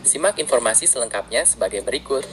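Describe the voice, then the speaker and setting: A man's voice: mid-range voice, animated, fast talker, noisy background. Man reading formal news narration.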